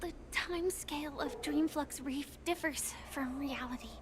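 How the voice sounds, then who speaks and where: An actress playing a gentle, earnest young woman in a game, speaking softly and confidingly. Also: sits high, measured pace, background noise. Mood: tender. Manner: soft, hushed, confiding. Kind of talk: game voice acting. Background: game music.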